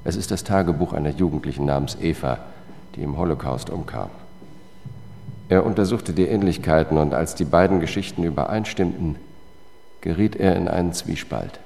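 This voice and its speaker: An actor playing a cool, relentless investigator who narrates his own case in a measured radio-drama style. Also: rumbling low, warm tone. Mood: measured, grave. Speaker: first-person narrator.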